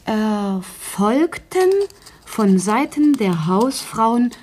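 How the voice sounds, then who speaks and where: High, very halting earnest maid. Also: female voice, animated, darkish tone, slight background noise. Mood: earnest, concentrated. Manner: halting, deliberate, word by word. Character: earnest housemaid slowly composing a written meeting report. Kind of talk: radio play dialogue.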